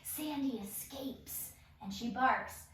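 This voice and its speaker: A woman leads an informal table read, reading the stage directions aloud. Her voice is high and unhurried. She is heard a little way from the microphone in a very echoey room. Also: very noisy. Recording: echoey room, distant mic, faint music.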